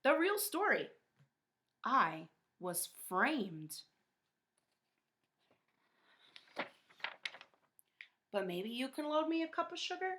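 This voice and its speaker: Woman playing storybook big bad wolf, bright crisp tone, aggrieved, chatty, self-pitying, emphatic. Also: high-pitched, very animated, a little slow, pitched above the performer's own voice, exaggerated voice. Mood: self-justifying. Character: indignant, self-pitying male storybook wolf protesting innocence. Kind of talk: children's read-aloud.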